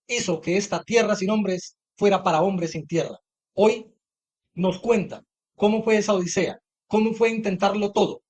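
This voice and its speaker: A man reading scripted documentary narration in a husky voice, introducing a guest. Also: high-pitched, a little mellow.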